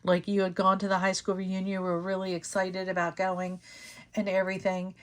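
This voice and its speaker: Slightly hoarse woman reading tarot. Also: mid-range voice, completely flat delivery, fairly quick. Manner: thinks aloud with many fillers and restarts. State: slightly hoarse, losing her voice. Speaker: tarot reader and channel host. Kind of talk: casual tarot reading to camera.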